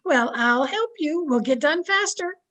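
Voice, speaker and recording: A dotty, eager neighbour friend played by an actress in an old radio comedy. The voice is high and chirpy, with a gushing, sing-song delivery. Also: female voice, animated, a little slow, fairly bright tone.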